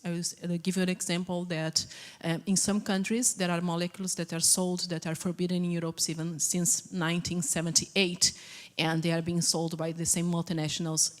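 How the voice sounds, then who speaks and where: A woman from Portugal with a Portuguese accent, asking the speaker a long, earnest question from the audience. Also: on the low side. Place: large conference hall. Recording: long hall reverb at a conference.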